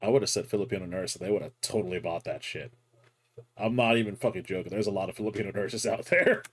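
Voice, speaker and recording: A young man hosting a reaction video, chatting casually, swearing freely and breaking into loud laughs. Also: sits low, fast talker, breathy edge.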